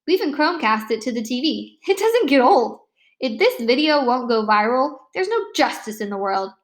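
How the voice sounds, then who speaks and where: A woman reading a children's novel aloud as an expressive first-person storyteller. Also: high-pitched, normal speed. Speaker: read-aloud narrator.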